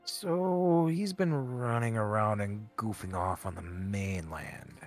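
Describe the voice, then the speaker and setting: A man's voice: on the low side, slow, vocal fry, full character voice. A man voicing a shifty, evasive, teasing goat owner in an improvised comedic fan dub of a fantasy game, with stammering, repetitive delivery. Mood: sly, hesitant. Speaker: amateur live fan-dub voice actor. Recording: background music under the voice.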